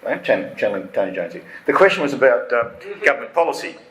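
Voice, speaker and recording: A man chairing a conference debate, brisk and a little jokey, with hesitant fillers. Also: medium pitch, sing-song, fairly bright tone. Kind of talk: moderating audience questions. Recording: echoey hall, faint noise.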